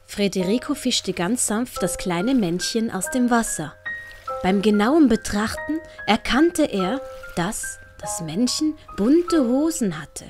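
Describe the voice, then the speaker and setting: A woman's voice: on the high side, lively intonation, takes it slowly, music in the background. A woman with a Swiss German accent reading scripted story narration for an audiobook.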